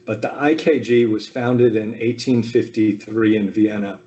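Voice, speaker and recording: A man in his fifties from the American South, giving a relaxed personal talk over a video call with frequent ums and asides. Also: on the low side, measured pace, lo-fi sound. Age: 50s. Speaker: speaker at a Zoom talk. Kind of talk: personal family history talk.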